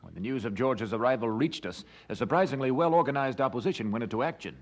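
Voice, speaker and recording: A courteous colonial gentleman in a historical audio drama. His mid-pitched voice is polite and conversational, with formal, genial phrasing of a supportive friend. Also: animated, quick pace. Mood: concerned, frank.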